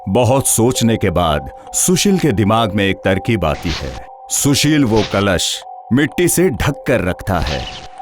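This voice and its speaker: A man's voice: on the low side, very animated, slow pace, music in the background. A man narrating a scripted story for children.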